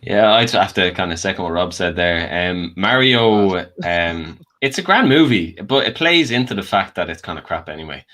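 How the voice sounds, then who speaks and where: A man's voice: low voice, expressive, normal speed, few pauses, bright, forceful tone. A man with an Irish accent giving his opinions in a casual film discussion. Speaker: panel guest. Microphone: online group call.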